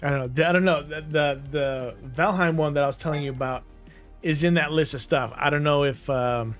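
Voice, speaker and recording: A man's voice: a little high, a little fast, a bit bright, soft background music. Laid-back male streamer chatting over gameplay. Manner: laid-back, laughs often, trails off mid-sentence. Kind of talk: casual chat while gaming.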